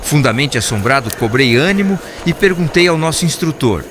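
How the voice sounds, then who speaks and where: A man narrating a literary audiobook in a formal, earnest, measured way. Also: a little high, lively intonation, noisy background. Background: clear background music.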